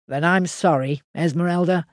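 A woman voicing a meek, worried male farmer, speaking in a low, slow drawl. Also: no echo, studio quality, lightly acted. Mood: uneasy.

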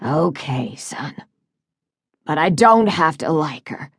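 A woman's voice: low voice, expressive, takes it slowly, slightly rough, dry recording, clean studio sound, exaggerated voice. Woman voicing a cantankerous, bellowing old father. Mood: grudging. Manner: bellowing, sarcastic. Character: sick, cantankerous, bitter old father, wealthy Southern man.

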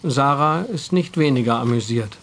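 Casting: man as audio drama narrator, measured wry delivery, middle register. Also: mid-range voice, animated, noisy background.

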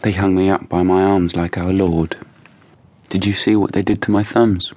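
An actor playing an anguished, guilt-ridden man in a radio drama, husky and halting, with a fervent, pleading manner. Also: low-pitched, flat delivery, warm tone, no echo.

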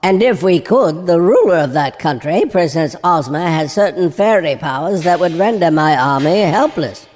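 A man's voice: a little high, animated, normal speed, hardly any pauses, a little mellow. A man playing an insolent, self-assured gnome army general in an audio drama, speaking with blunt dismissiveness. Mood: scornful. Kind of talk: full-cast audio drama acting. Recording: long reverberant hall echo.

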